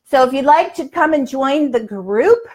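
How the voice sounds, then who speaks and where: A woman's voice: high voice, expressive, normal speed, darkish tone. A woman in her sixties hosting a casual livestream from her kitchen, chatty and folksy, given to exclaiming. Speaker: live cooking show host. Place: home kitchen, indoors. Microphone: lapel mic.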